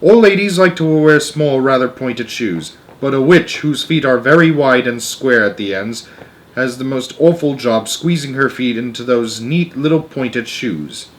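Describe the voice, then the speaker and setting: A man plays an old storybook grandmother, grave and knowing. The delivery is emphatic and matter-of-fact, relishing grim details, with lively rises and falls in pitch. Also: unhurried, lightly acted, poor audio. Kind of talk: storybook read-aloud character.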